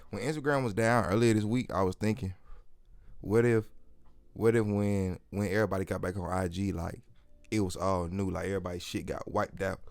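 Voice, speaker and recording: A man's voice: deep voice, steady tone, fairly quick, gravelly, darkish tone, dry recording. A man with an Atlanta accent hosting a casual podcast, rambling through stories in slangy, profanity-laced talk. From Atlanta area, United States. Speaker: podcast host.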